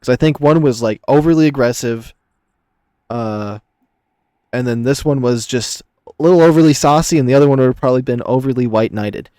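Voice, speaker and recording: Mellow-voiced man hosting a gaming video. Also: medium pitch, a little fast, no echo, studio quality. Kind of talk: visual novel narration and casual commentary.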